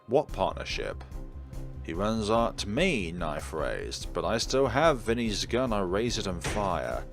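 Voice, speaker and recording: A man's voice: fairly low pitch, very animated, measured pace, gravelly. A man doing let's play commentary, reading game narration aloud theatrically with wry asides. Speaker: game streamer. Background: game music.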